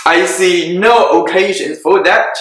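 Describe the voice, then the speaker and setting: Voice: medium pitch, takes it slowly, a bit bright. A reader voicing a dry, sarcastic older father who teases his wife, in a dramatic reading. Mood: teasing. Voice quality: dry, teasing. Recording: very echoey room.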